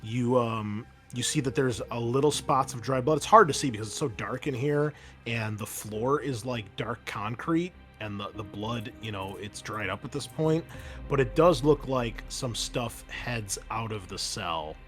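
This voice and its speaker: A man's voice: medium pitch, a little slow, a bit bright. Male game master with music underneath. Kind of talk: narrating a tabletop game, casual.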